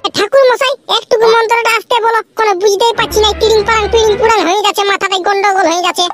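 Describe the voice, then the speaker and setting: A man voicing a whiny, excitable village schoolboy in a comic cartoon, with a Purulia Bengali accent and a pitched-up voice. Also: racing. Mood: excusing. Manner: complaining, rustic. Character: whiny, excitable village boy, lazy exam student. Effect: pitch-shifted up. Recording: very noisy track with ambient sound.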